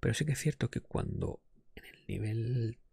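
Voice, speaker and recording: A man speaking in a soft, breathy half-whisper, rambling casually in an ASMR podcast style close to the microphone. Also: medium pitch, normal speed, no room sound. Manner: hushed, rambling, opinionated. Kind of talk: ASMR, casual unscripted monologue on video games.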